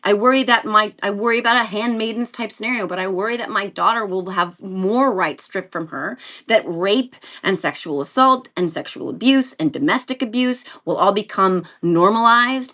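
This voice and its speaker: A woman's voice: mid-range voice, pushed and bright. A middle-aged American woman talking candidly as a talk show guest, emphatic and repeating phrases to drive points home. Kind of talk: candid political interview chat.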